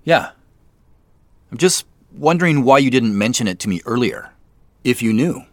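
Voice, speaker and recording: A man's voice: medium pitch, animated, normal speed, no room sound, natural voice. A man playing a casual, probing detective in a naturalistic audio drama, dry and inquisitive. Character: dry, inquisitive male detective working a case.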